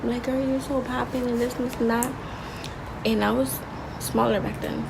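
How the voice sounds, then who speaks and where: Soft-spoken young woman vlogging, slangy and rambling, kinda gravelly, noisy background. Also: steady tone, normal speed. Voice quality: soft, low-volume voice. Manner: slangy, rambling, with frequent restarts. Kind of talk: casual confessional vlog.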